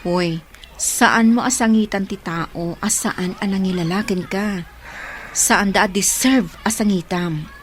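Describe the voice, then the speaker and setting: A woman's voice: medium pitch, takes it slowly, slight background noise, natural voice. A woman with an Ilocano accent playing a frank, lovelorn single woman in her thirties in a radio drama. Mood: insistent. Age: 30s.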